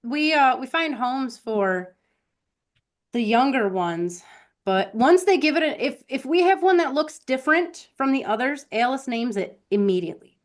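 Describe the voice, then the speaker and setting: A woman's voice: high voice, animated, moderate pace. A woman chatting casually as a co-host, telling rambling anecdotes and acting out bits of quoted conversation. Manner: rambling storyteller who acts out quoted conversations. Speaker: podcast co-host.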